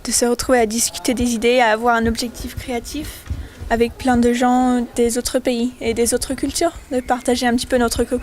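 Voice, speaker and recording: Bright-voiced young woman. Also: on the high side, little pitch movement, a little fast, slight background noise. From Scotland. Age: young adult. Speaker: international student.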